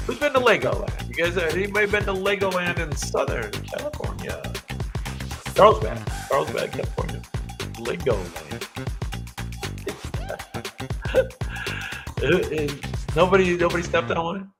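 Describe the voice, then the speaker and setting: A man's voice: on the high side, huge pitch swings, unhurried, creaky, pauses often. An older man hosting a live trivia night, chatty and jokey, reading clues with playful drama and laughing often. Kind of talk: live-streamed trivia chat with viewers. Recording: music playing under the voice.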